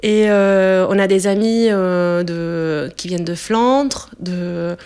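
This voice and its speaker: A woman in her twenties from Italy, speaking French as a second language with an Italian accent, answering interview questions with many pauses and fillers. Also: fairly low pitch, darkish tone, clean tone. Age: 20s.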